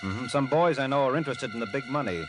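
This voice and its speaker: A man plays a smooth-talking, carefree sleuth in a vintage radio show. His mid-pitched voice is heard over clear background music. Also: expressive, fast, lightly acted. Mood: breezy, flirtatious. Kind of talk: 1950s radio drama acting.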